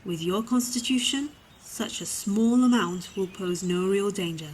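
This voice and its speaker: A woman playing a calm, courteous fantasy cleric with formal, measured speech in a comic audio drama. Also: mid-range voice, slight background noise, close to an everyday voice. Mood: calm, reassuring.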